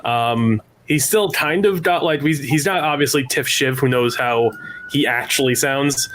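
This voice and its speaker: A man's voice: mid-range voice, a little slow, fairly bright tone, slight background noise. A man from a tabletop podcast cast answering fan questions in casual chat. He rambles and thinks aloud, with many fillers, over a video call. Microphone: remote mic over a video call.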